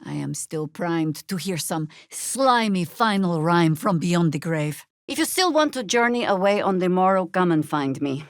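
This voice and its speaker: A seasoned, battle-worn heroine from a fantasy video game. She is a middle-aged woman with a fairly low voice and a wry, measured, dramatic delivery. The sound is very dry, with no room around it. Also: no echo. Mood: wry, fond. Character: seasoned, wry female adventurer, battle-worn mother and druid. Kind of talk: video game character dialogue and spell calls.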